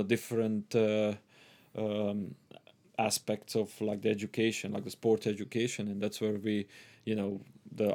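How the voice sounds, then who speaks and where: Halting man, lowish voice. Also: darkish tone. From Transylvania, Romania. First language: Hungarian. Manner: halting, many restarts and fillers, laughs often. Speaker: interview guest, gym owner. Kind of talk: casual interview.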